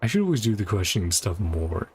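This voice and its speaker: A man's voice: on the low side, sing-song, fast talker, a little mellow, a little hiss or hum. A man reading game dialogue aloud with casual, mumbled commentary, as a streamer would. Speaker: streamer playing and reacting to a game. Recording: game music behind the voice. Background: game music.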